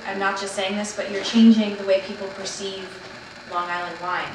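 A woman in her thirties with an average-pitched voice hosts a casual, conversational interview. She sounds set back from the microphone in a strongly echoing space, with clear music underneath. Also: level tone, normal speed, noisy background. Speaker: host. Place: large indoor winery barrel room and tasting bar. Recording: very echoey room, distant mic, music underneath. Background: clear background music.

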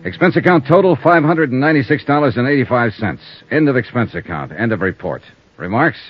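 An actor with an American accent playing a shrewd, world-weary insurance investigator with clipped, hardboiled delivery in 1950s radio style. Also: male voice, medium pitch, expressive, fairly quick, lightly acted. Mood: businesslike. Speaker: lead actor of a radio detective serial. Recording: muffled old radio broadcast.

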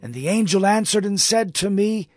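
High-voiced, awed prophet. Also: male voice, lively intonation, moderate pace, faint music behind. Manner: earnest, dramatic, declaiming. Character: awed, earnest prophet recounting his visions. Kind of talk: dramatized audio Bible.

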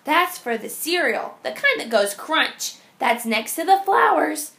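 Female children's story reader: high pitch, creaky edges, deliberate sing-song phrasing. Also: big pitch swings, fairly bright tone. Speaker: teacher reading aloud. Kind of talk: picture-book read-aloud for young children.

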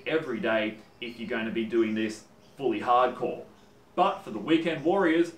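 A man's voice: medium pitch, recorded from a distance, a little hiss or hum. Chatty Australian man in a roomy workshop. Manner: chatty and animated, gestures while talking. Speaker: woodworking channel host. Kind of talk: casual talk to camera. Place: home workshop, indoors. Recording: roomy workshop sound from off-mic.

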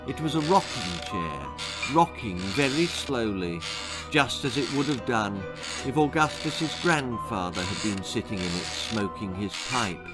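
A man's voice: lowish voice, animated. Older man reading a spooky tale over music. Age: older, sixties. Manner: measured, theatrical, suspenseful delivery. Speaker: author reading his own children's story. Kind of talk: dramatic storytelling, spooky children's tale narration. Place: book-lined room indoors.

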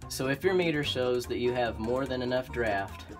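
A man with an Oklahoma accent, an HVAC technician explaining furnace repair in a relaxed, practical way, with frequent ums and restarts. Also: mid-range voice, moderate pace, over music. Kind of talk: instructional how-to explanation. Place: indoor utility closet by a furnace.

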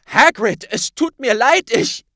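A man's voice: very high-pitched, lively intonation, slow pace, sharp tone, no echo, studio quality, pitched above the performer's own voice, full character voice. A man voicing a distraught, guilt-ridden young wizard who stammers and pleads in an audiobook. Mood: remorseful.

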